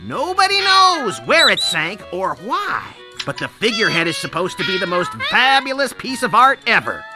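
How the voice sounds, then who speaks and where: An actor playing an eccentric, shrewd antique shopkeeper in an animated adventure game, haggling in a dry, dismissive way. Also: male voice, sits high, normal speed. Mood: scheming. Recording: game music behind the voice.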